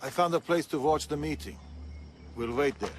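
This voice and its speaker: A man's voice: on the high side, moderate pace, slight background noise, close to an everyday voice. An actor playing a serious older male assassin mentor who speaks in clipped instructions in a video game. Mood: intent. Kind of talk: video game cutscene acting.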